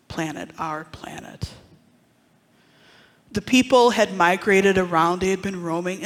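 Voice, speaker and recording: A preacher from northern Wisconsin giving a Pentecost-style sermon, speaking with dramatic pauses and dropping into hushed asides. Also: mid-range voice. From northern Wisconsin, USA. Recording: long reverb of a large hall.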